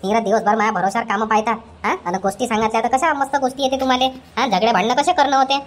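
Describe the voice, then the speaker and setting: A woman voicing a nagging, scolding old mother-in-law in a cartoon comedy, with a rapid ranting way of talking. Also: fairly high pitch, fairly even intonation, close to an everyday voice.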